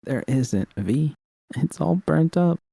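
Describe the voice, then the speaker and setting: A frantic young woman, pitched high and full of guilt, her pleas cracking as she begs to be understood, as a male reader puts her on. The voice stays mellow underneath, and it is recorded dry and close. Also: expressive, slow pace, gravelly, breathy edge, no room sound, heavily acted. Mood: crushed. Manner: high, strained and pleading. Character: distraught young woman, guilt-ridden bar tech.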